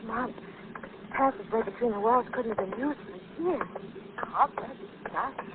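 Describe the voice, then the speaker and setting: A woman's voice: on the high side, normal speed, close to an everyday voice. An actress playing an anxious, refined young lady in 1940s radio drama, speaking in a breathless, alarmed way. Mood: uneasy. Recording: tinny, noisy 1940s radio recording.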